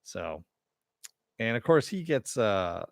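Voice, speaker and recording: A man's voice: lowish voice, very animated, slow, vocal fry, no room sound, studio quality. A man hosting a casual live-streamed recap of a TV episode, rambling and chatting with his viewers at home. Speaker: show host reviewing a TV episode. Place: home room. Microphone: headset mic with headphones.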